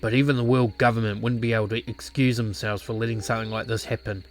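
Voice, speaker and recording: A man acts out a brooding former clan leader weighed down by regret. His voice sits low, and he speaks in a measured, reflective, somber way. It is visual novel drama with music underneath. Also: level tone, lightly acted. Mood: grim, bitter.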